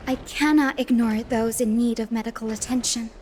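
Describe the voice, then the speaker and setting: A woman's voice: a little high, steady tone, moderate pace, darkish tone, slight background noise, lightly acted. A woman playing a caring, dutiful healer in a video game, formal and courteous. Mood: composed, dutiful. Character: composed, caring female healer, calm and dutiful. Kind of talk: video game dialogue acting.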